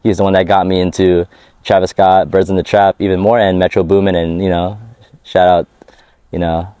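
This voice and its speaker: A man's voice: low-pitched, flat delivery, moderate pace, mellow, clear, resonant tone, no room sound. A man giving a casual interview about his music, talking in a laid-back slangy way and laughing often. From United States. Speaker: rapper being interviewed. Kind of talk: casual interview chat on a hike. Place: outdoors on a hike.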